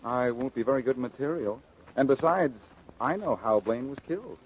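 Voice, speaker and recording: An actor playing a breezy, wisecracking detective in 1940s radio drama style, flippant and teasing. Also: male voice, medium pitch, normal speed, close to an everyday voice. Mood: cool, coaxing. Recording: muffled, noisy 1940s radio recording.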